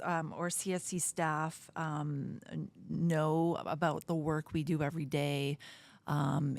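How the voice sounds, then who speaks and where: Low-voiced woman, closely recorded. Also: darkish tone, no echo. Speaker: podcast host, interviewer. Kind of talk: conversational interviewing.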